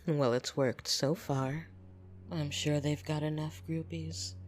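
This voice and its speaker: A woman playing a fond, teasing, possessive vampire in an intimate ASMR roleplay, speaking softly and often slipping into whispers. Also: low voice, steady tone, slow pace. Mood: relaxed, confiding. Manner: soft, breathy, teasing, often dropping into whispers. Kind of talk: intimate ASMR roleplay, scripted monologue to listener. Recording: music behind the voice.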